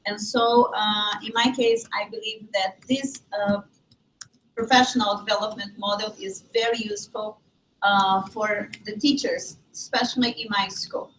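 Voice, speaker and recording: A Brazilian English teacher introduces herself in a halting way, pausing on filler sounds. Her pitch sits in the middle for a woman. She is picked up from a distance, with faint ambient noise behind her. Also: fairly even intonation, a bit bright. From Rio de Janeiro, Brazil. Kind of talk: self-introduction in a presentation.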